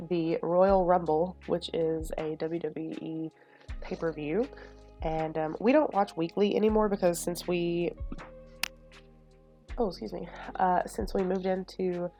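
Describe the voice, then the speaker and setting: A young woman with a sniffly, stuffed nose, chatting casually and rambling with lots of fillers while she shows a build. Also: on the low side. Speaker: Sims build video creator. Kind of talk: casual chat over a speed build. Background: soft lo-fi music.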